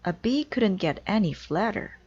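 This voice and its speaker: A woman's voice: medium pitch, lively intonation, normal speed, darkish tone, husky, lo-fi sound, voice pushed up. A woman reading a children's storybook, voicing a cheerful, literal-minded, scatterbrained housekeeper in an earnest, exclaiming way. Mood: innocent, chirpy. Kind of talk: children's storybook read-aloud.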